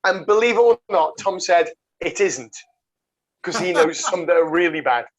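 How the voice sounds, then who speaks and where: A man hosting a casual podcast over a video call, jokey and quick to laugh, calling his guest mate. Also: high voice, expressive, rough recording. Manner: jokey, laughs often, calls people mate. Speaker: podcast host.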